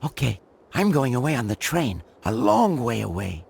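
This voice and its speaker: An actor playing a frail, simple-minded old inventor who talks in short childlike sentences, in a video game. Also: male voice, mid-range voice, very animated, normal speed, darkish tone, full character voice. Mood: quietly determined. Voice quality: husky, breathy.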